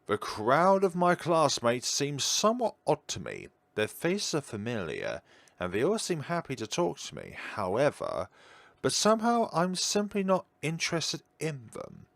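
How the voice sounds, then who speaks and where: A man's voice: fairly high pitch, huge pitch swings, a little slow, gravelly, a bit bright, no echo. A man reading a visual novel aloud theatrically as a game streamer, slipping out of the story for asides and self-corrections.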